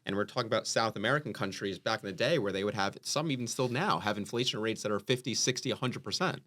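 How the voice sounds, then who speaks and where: Lowish-voiced man talking economics casually. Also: quick pace. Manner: animated, conversational, many fillers. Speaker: podcast co-host. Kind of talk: casual panel discussion.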